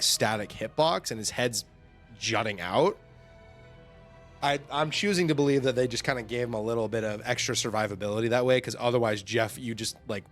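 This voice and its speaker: A young man hosting a casual livestream, rambling and laughing often, his voice getting hoarse from talking. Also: medium pitch. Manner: chatty, rambling, laughs often, lots of fillers. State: losing his voice, throat-clearing. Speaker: livestream host. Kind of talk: casual game overview chat.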